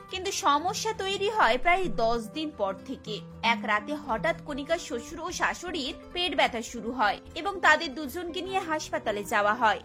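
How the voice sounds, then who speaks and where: A woman's voice: high-pitched, sharp tone. A woman narrating a scripted Bengali cartoon family story.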